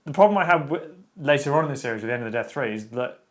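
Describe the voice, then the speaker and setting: A man, co-hosting a casual podcast chat about books, opinionated and quick with restarts. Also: mid-range voice, animated, a bit bright. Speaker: podcast co-host.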